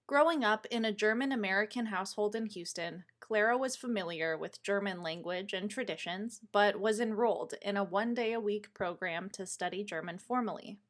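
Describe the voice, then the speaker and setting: A woman reading scripted narration in a measured, explanatory way, introducing material for an audience. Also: medium pitch.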